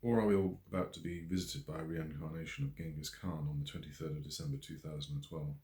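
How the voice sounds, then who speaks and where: A man's voice: very deep voice, fairly even intonation, fast talker, mellow, reverberant. A man voicing an affectionate, teasing woman in an audiobook story, talking in a fond, wry way. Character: affectionate, teasing woman chatting with lifelong friend.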